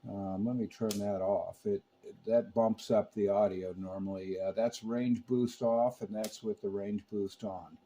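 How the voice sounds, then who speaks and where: A man's voice: lowish voice, fairly even intonation, unhurried, mellow, a little hiss or hum. A man talking casually about technical repair work as a hands-on host, in a folksy, chatty manner. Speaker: radio repairer and video host. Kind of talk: casual technical talk and product commentary.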